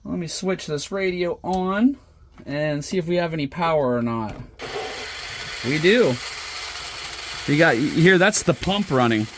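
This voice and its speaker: Higher-pitched man chatting casually as he works. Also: a little slow, pauses often, noisy background. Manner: unscripted, thinking aloud as he works. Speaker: hobbyist presenter. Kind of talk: casual show-and-tell vlog. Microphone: smartphone camera at the workbench.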